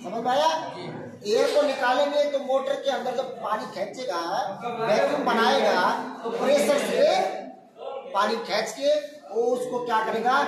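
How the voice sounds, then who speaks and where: A man's voice: high voice, far from the mic. A man in his forties teaching a hands-on workshop lesson, explaining step by step and often asking the students if they understood. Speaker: workshop instructor. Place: crowded indoor workshop, students standing around. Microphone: far phone camera. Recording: echoey room, voice from afar, crowd noise.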